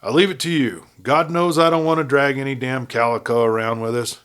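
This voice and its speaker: A man's voice: mid-range voice, fairly quick, a bit bright, smooth, natural voice. A man voicing a wary, principled outlaw lieutenant in a Western story, with guarded, earnest cowboy speech. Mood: reluctant, questioning.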